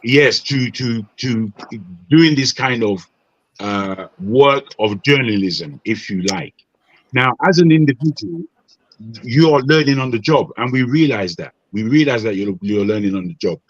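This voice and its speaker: A man from Nigeria talks in a measured, advising way on an online panel and often restarts his phrases. His voice sits mid-range and sounds dry. The audio is dull and lo-fi, like an online call. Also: medium pitch, expressive, dry recording. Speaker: panel participant.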